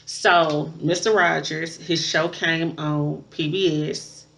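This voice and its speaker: A woman's voice: sits low, fairly even intonation, slow, gravelly, fairly bright tone, rough recording. A woman aged thirty-nine chatting casually and unscripted to her viewers as a vlogger, with emphatic, conversational phrasing. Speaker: vlogger reviewing a movie. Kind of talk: casual unscripted chat to camera.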